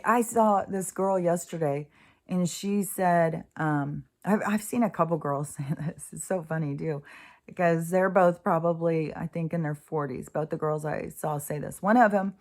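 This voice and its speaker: A woman's voice: lowish voice, darkish tone. A woman in her sixties talking casually and candidly in a rambling spiritual monologue, swearing freely. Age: 60s. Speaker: host.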